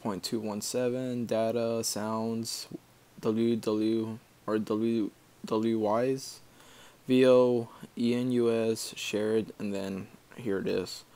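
A man giving a casual screen-recorded software tutorial, explaining step by step in an informal, halting way. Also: on the low side. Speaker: tutorial maker.

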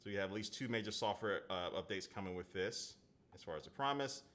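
Chatty man with a bright voice. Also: on the low side, a little fast. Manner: chatty, loose, lots of "like" and "man", reads viewer comments aloud. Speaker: tech reviewer. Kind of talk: casual live-stream unboxing chat. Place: indoor home studio at a desk.

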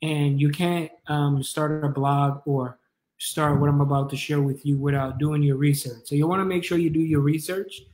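A man's voice: on the high side, fairly even intonation, normal speed, a little mellow. A man in his thirties with a Jamaican accent, giving a casual live tutorial in an informal, encouraging, rambling way. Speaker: online business coach and livestream host. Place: kitchen or living room at home, indoors.

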